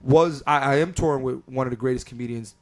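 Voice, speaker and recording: A man's voice: mid-range voice, quick pace. A man in his thirties from Philadelphia talks as a guest comedian in a loose, easygoing chat. He rambles in long, winding runs with plenty of fillers and restarts. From Philadelphia area, US. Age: 30s. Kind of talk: casual chat.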